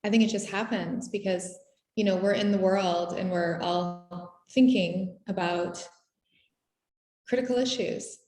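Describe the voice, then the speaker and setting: Woman, curator, interviewer, reflective, middle pitch, roomy echo. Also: fairly even intonation, unhurried, darkish tone. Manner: supportive, reflective, asks open questions. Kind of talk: virtual conversation. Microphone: video call.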